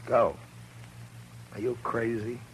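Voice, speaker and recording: An actor playing a blunt, commanding American marshal of the Old West in a radio drama, on a noisy vintage recording. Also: male voice, mid-range voice, flat delivery, slow, lightly acted. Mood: stern, forceful. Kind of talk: Western radio drama acting.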